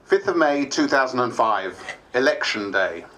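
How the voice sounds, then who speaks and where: A man's voice: mid-range voice, very animated, moderate pace, a crowd in the background, natural voice. A man playing a wry politician who narrates a diary in a theatrical stage style.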